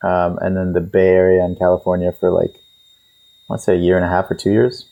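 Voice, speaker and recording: A man's voice: sits low, level tone, fairly quick, creaky, lo-fi sound. A man in his twenties, born in Israel, casually telling family stories with frequent fillers. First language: Russian. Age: 20s. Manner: easygoing storyteller with fillers.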